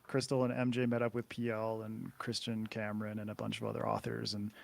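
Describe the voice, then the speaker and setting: A man's voice: on the low side, fairly quick, vocal fry, no room sound. A Canadian man, a podcast guest, chatting casually and rambling a little over a video call. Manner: relaxed, rambling, swears casually.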